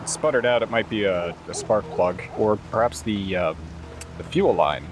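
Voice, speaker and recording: A man's voice: fairly low pitch, normal speed, music in the background. A man talking casually and jokingly as a player in a tabletop roleplaying game. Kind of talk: casual in-character game play talk.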